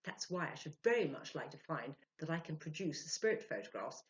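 An earnest, self-important man who works as a medium, acted out by an older woman storyteller, speaks in emphatic, animated swings. He keeps justifying himself. It is a storybook reading on a slightly dull home recording. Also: deep voice, fairly quick. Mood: confiding.

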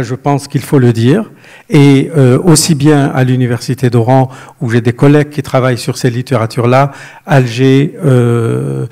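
An older man speaking on a panel discussion on stage into a handheld mic, measured and hesitant, with many pauses and fillers. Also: medium pitch, gravelly, warm tone. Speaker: panelist writer. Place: stage of an auditorium.

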